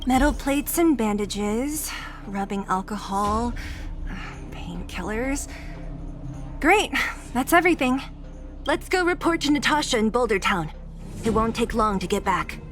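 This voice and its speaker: A woman's voice: fairly high pitch, lively intonation, a little slow, soft background music. An actress voicing a tough, blunt young woman fighter in a video game, direct and casual but loyal. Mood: businesslike.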